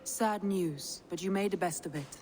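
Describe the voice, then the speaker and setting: A woman's voice: fairly low pitch, moderate pace, a little mellow, slight background noise, lightly acted. An actress playing a shrewd, composed Viking woman who advises her clan in a video game. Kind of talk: dramatic video game acting.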